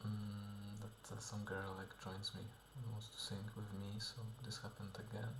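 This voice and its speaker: A man's voice: lowish voice, completely flat delivery, moderate pace, reverberant, noisy background. A man talking through a casual video diary, hesitant and full of fillers and restarts, often dropping to a half-whisper. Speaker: vlogger and musician.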